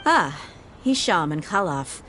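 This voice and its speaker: A woman's voice: lowish voice, big pitch swings, takes it slowly, sharp tone, slight background noise, exaggerated voice. A woman playing a confident, easygoing young mercenary in a video game, with a folksy, self-assured manner. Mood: curious, assured. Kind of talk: video game character acting. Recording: game music behind the voice.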